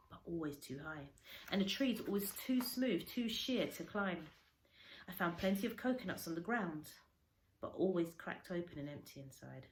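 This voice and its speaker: Expressive woman reading a story, echoey room. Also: on the low side. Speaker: teacher reading a story aloud. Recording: echoey room, faint background noise.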